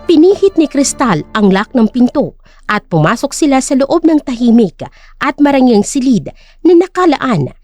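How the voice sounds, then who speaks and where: A woman's voice: on the high side, darkish tone, dry recording, clean studio sound. A woman narrator reading a scripted dramatic story.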